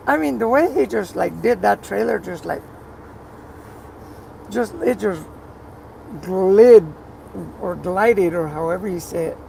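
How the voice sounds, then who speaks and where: Gravelly-voiced live streamer, slow and rambling, chatting to viewers over steady road noise. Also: medium pitch, rough recording. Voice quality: rough gravelly voice. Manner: rambling, repeats words, talks to viewers by name. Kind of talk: casual live-stream chat reading comments.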